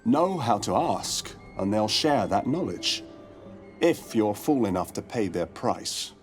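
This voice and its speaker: Wandering monster hunter for a fantasy game: low voice, expressive intonation, grave, courteous, ominous. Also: male voice, faint music behind. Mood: wary, wry. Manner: measured, formal, ominous. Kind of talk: dramatic video game dialogue acting.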